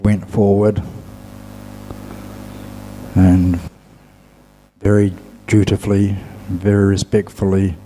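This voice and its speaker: A man with a low, mellow voice gives a dhamma talk as a Buddhist teacher. He speaks very slowly and haltingly, leaving long pauses between short phrases. A faint hum sits behind him. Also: flat delivery, rough recording.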